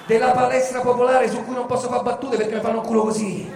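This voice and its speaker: A man's voice: very high voice, little pitch movement, fast, background noise. A man with a Roman accent performing a satirical theatrical monologue in an emphatic, repetitive litany. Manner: emphatic, repetitive litany delivery swinging from murmur to shouting. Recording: echoey reverberant space, music behind. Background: music.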